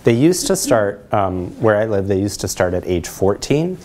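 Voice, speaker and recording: An American man with a fairly low voice fields questions at a talk. He explains in a plain presenter's way, speaking indoors in a lecture room. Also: sing-song, normal speed, darkish tone. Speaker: presenter taking audience questions.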